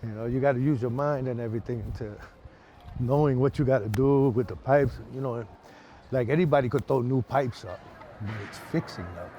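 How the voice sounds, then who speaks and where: A man's voice: mid-range voice, a little fast, mellow, lo-fi sound. An American man chatting casually outdoors, explaining things colloquially with plenty of "you know". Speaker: maintenance worker, interviewee. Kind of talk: casual chat. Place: outdoors on a street. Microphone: clip-on lapel. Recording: faint outdoor street noise.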